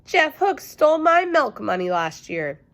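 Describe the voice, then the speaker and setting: Woman doing an insistent high-pitched little schoolboy. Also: sing-song, unhurried, a bit bright, full character voice. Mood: aggrieved. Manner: insistent, complaining. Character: persistent, aggrieved little schoolboy.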